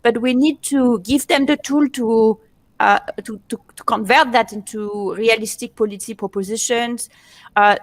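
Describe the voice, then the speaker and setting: A woman's voice: on the high side, no room sound. A woman answering on a panel, searching for words with frequent restarts.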